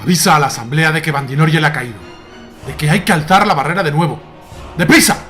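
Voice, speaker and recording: A man's voice: a little high, quick pace, a bit bright, soft background music, natural voice. A man acting a commanding elf grand magister in a fantasy radio drama, giving urgent, authoritative battle orders.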